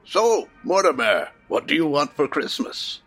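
A man's voice: on the high side, very animated, measured pace, a bit bright, slightly rough, soft background music, exaggerated voice. A man playing a kindly old department store Santa in a radio play, courteous, patient and reassuring.

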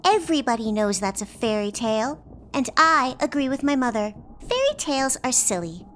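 A woman's voice: sits high, sing-song, moderate pace, fairly bright tone. A woman acting a skeptical, prim little girl in a comic radio play, precise and condescending, over faint noise. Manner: precise, matter-of-fact, condescending.

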